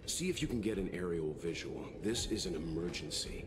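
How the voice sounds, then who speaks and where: An actor playing a calm, serious and protective male adventurer in a video game, with composed, earnest delivery. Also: deep voice, a little fast, background noise, lightly acted. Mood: serious, urgent. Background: game music.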